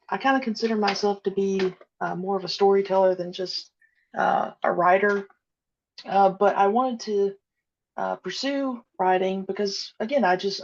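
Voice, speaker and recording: A young woman with a gravelly, fry-heavy voice chats easily as a podcast guest, laughing often and restarting her sentences. Her sound is dull and lo-fi, with the high end cut off. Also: a little hiss or hum. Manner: easygoing, many fillers and restarts, giggly. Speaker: podcast guest, audio drama creator. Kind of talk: casual interview chat.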